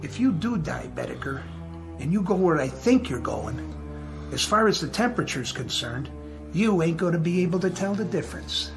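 An actor playing a gruff, insolent working-class janitor in a radio drama, blunt and mocking. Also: male voice, on the high side, big pitch swings, normal speed, over music.

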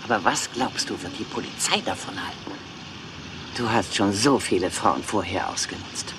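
A woman's voice: extremely low pitch, normal speed, noisy background. A woman playing an aging Hollywood film diva, cool, calculating and taunting, in a dubbed crime series. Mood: accusing. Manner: cool, taunting, lively intonation. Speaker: film star.

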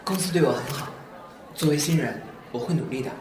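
A man's voice: fairly high pitch, fairly quick, noisy background, close to an everyday voice. A man acting as a young rookie singer in a radio drama, timid and apologetic, speaking hesitantly. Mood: modest. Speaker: voice actor.

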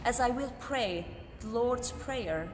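A young woman with an Armenian accent, a native Armenian speaker from Syria, reciting a prayer rapidly and evenly in a large hall under renovation. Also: a little high, expressive. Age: young adult. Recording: reverberant hall with noise.

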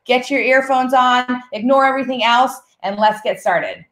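A woman's voice: high-pitched, moderate pace, very noisy. A woman co-hosting a podcast over a video call, upbeat and effusive as she welcomes her guest. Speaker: podcast co-host. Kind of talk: casual video-call interview. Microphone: webcam on video call. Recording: roomy video-call audio.

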